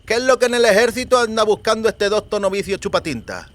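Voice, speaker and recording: A man voicing the mocking, menacing eldest member of a rough rustic gang in an audiobook, speaking slowly and archaically with a lisp. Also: high voice, expressive, a bit bright, voice pushed up. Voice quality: lisping. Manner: slow, archaic rustic speech, sardonic.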